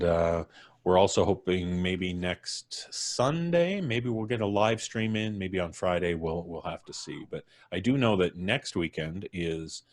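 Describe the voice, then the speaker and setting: Deep-voiced man hosting a live stream. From Canada. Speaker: podcast host.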